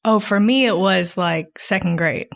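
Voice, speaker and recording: A woman's voice: mid-range voice, normal speed, vocal fry. A young woman from Saint Vincent and the Grenadines, a pastry chef guest in a casual interview, upbeat and laughing easily.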